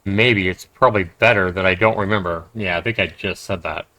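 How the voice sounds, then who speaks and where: A man's voice: low voice, a little fast, bright, forceful tone, no room sound. A man livestreaming a game, commenting conversationally and emphatically and reading text aloud. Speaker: game streamer.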